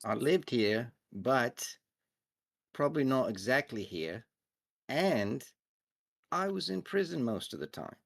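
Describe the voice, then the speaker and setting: A man with a gravelly, creaky voice at an ordinary pitch, half spent as he loses his voice. He plays a tabletop character in a playful yet formal manner, talking over a home call mic. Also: big pitch swings, slow, long pauses. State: losing his voice. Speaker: player.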